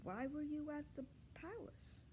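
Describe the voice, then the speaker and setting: A woman voicing a patient, slightly weary schoolteacher in a storybook, with measured, polite classroom speech. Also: sits high, lively intonation, a little mellow, a little hiss or hum, pitched above the performer's own voice. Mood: questioning, resigned.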